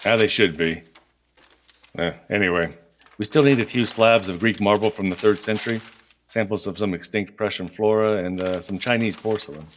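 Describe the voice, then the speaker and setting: A man's voice: lowish voice, moderate pace, slight background noise, natural voice. An actor playing Michael, a curt, dismissive workaholic man who runs a time-travel heist crew, in an audio drama.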